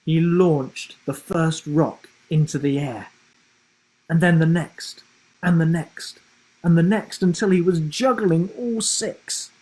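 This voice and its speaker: Male author-narrator for children's fiction: slow, deliberate storytelling, breathy husky tone, expressive delivery. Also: a little high, low-quality recording. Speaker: author reading his children's book.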